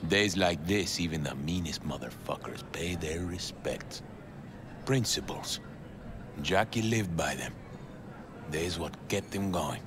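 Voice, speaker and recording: Low-voiced, wistful barkeeper. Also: male voice, takes it slowly. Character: wistful, world-weary male barkeeper. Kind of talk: video game acting. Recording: clear ambient noise behind.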